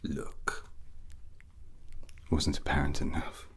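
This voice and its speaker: A man playing a drunken, arrogant, brooding rogue prince in a fantasy ASMR roleplay, speaking in a breathy whisper with halting, musing phrases. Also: very deep voice, completely flat delivery, exaggerated voice. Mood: haughty, musing. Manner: slow, halting, musing, haughty.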